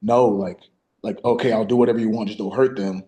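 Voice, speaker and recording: A man, an actor talking casually through his part in halting, repetitive phrases. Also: on the low side, fairly even intonation, mellow. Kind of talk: casual chat.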